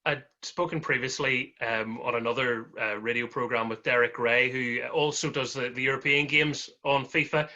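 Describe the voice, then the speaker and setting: Bright-voiced male podcast host, friendly. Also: mid-range voice. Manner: friendly, chuckling, rambling questions. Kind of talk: casual interview chat. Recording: dull lo-fi audio.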